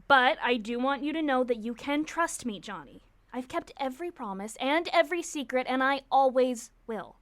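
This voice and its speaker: Woman acting a high-voiced, earnest teenage girl. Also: dry recording. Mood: earnest coaxing. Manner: earnest, expressive. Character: caring, sharp-witted teenage girl, loyal confidante. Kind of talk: nostalgic period audio drama acting.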